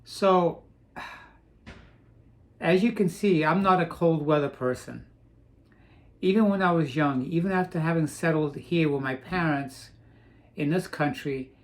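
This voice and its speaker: A man from Portugal chatting casually in a vlog, rambling with frequent restarts. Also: a little high. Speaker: vlogger. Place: home in Florida.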